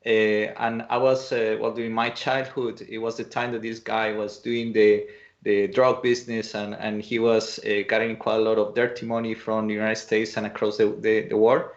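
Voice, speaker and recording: A man's voice: on the low side, little pitch movement, moderate pace. A man from Colombia giving an online conference talk, hesitant, with many fillers and restarts, over muffled video-call audio. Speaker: presenter.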